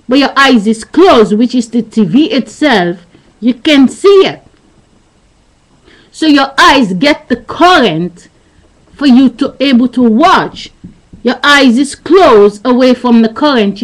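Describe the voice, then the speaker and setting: A woman in her thirties with a high voice speaks into a webcam mic. She lectures in an insistent, repetitive way, circling back over the same points. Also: rough recording. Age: 30s. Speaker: vlogger. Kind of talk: rambling philosophical webcam monologue.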